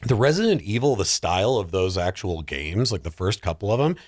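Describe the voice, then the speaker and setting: A man's voice: on the low side, very animated, fairly quick, a little mellow, dry recording, studio quality. A man in casual podcast conversation as a guest, talking in loose, rambling runs. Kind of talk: casual chat.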